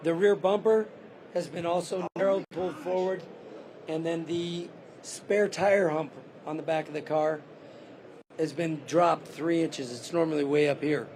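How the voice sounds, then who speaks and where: A car builder who is losing his voice, calmly giving a detailed walkaround of a custom car on a show floor. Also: a little high, measured pace, a little hiss or hum. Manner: detailed, matter-of-fact.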